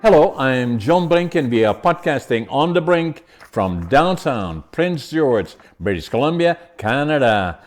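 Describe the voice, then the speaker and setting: A man's voice: medium pitch, animated, a little slow, few pauses, faint music behind. A man hosting an interview podcast, chatting casually and often restarting his questions as he goes. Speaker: podcast host.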